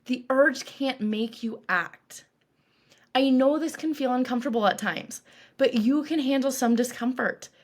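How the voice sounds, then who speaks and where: A woman coach leading a guided meditation in a calm, reassuring, instructive way, with deliberate phrasing. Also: high-pitched. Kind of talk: guided meditation, scripted narration.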